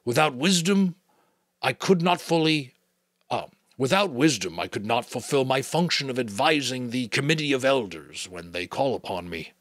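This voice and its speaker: A man voicing a wise old male keeper of memories who speaks slowly and gravely. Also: mid-range voice, animated, a bit bright, dry recording, pitched above the performer's own voice. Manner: slow, measured.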